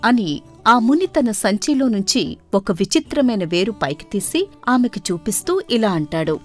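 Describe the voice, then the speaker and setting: A woman reading scripted storybook narration as the narrator of an animated folktale. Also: on the high side, normal speed. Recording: faint music behind. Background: faint music.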